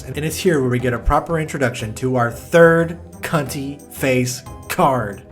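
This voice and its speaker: A young man with a mid-range voice talks through a bad fantasy movie in a scripted review. He keeps slipping in mocking, deadpan asides and the odd curse word. Faint music sits underneath. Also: medium pitch. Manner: wry, mocking asides with swearing. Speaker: film reviewer. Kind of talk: sarcastic movie review commentary.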